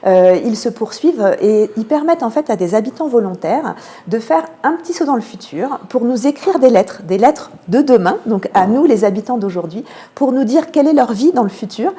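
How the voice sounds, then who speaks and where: A woman with a French accent from France giving measured, explanatory answers as a radio interview guest, pausing often to find her words. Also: on the high side, expressive, warm tone. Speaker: theatre programme manager, interview guest.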